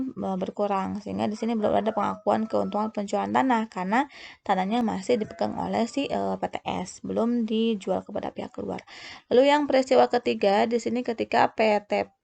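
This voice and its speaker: A woman tutor walks through an explanation in lecture style. Her medium-pitched voice has a marked creak. She speaks haltingly, often stopping to correct herself and restart a phrase. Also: a little mellow. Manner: halting, self-correcting delivery. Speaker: accounting tutor.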